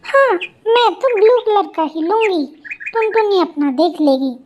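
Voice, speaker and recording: A woman's voice: extremely high pitch, animated, unhurried, a little mellow, muffled recording, a little hiss or hum. A woman voicing a lazy older sister bird, irritable and dismissive, in a cartoon story.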